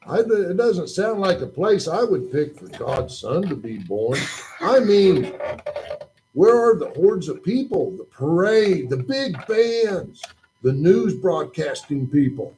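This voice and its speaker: A man's voice: high voice, very animated, slow pace, darkish tone, slight background noise, heavily acted, rough recording. Man doing a wide-eyed boy puppet. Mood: curious. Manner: questioning, jokey and full of wonder. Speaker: puppet in a church children's show. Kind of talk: children's puppet show dialogue. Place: church.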